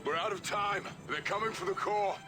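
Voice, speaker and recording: An actor playing a proud Norse thunder god in a video game, with a grandiose, declamatory manner. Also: male voice, high voice, normal speed, close to an everyday voice. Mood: urgent.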